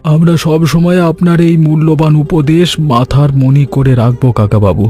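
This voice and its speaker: Man playing mellow, earnest village shopkeeper. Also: fairly high pitch, steady tone, moderate pace, soft background music, close to an everyday voice. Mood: solemn, devoted. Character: honest, grateful village shopkeeper, earnest and respectful. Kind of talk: Bengali animated story voice acting.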